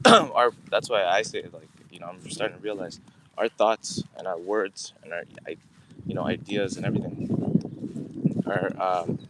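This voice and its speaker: A man from Canada, chatting casually to viewers on a phone livestream while walking his dog outdoors, full of fillers. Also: low voice. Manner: rambling, many fillers, chats with viewers. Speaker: livestream host. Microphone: phone camera held while walking. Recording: loud wind noise outdoors.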